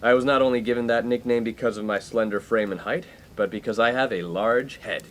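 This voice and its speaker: A man's voice: medium pitch, a little fast, slight background noise, lightly acted. An actor playing a pompous, skirt-chasing young college man in a 1950s comedic radio play, given to grandiose, wordy speeches. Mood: obsequious.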